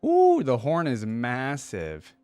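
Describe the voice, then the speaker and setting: A man's voice: medium pitch, huge pitch swings, slow pace, warm tone, dry recording, faint music behind. A man hosting a reaction video, giving casual, chatty commentary and thinking aloud in an informal way.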